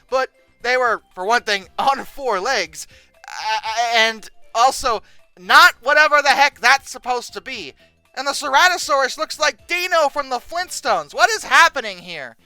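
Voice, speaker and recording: An incredulous host delivers sarcastic reaction commentary in a bright, sharp voice. The pitch moves around a great deal, with emphatic stresses. The sound is close and dry, with faint music underneath. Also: dry recording. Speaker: video host. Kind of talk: reacting commentary, reading old text aloud and mocking it.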